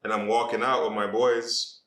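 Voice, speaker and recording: A man's voice: medium pitch, level tone, normal speed, fairly bright tone, roomy sound. A young man from Jamaica, a podcast guest, talking casually and rambling about his start on social media. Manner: laid-back, rambling with many "like"s.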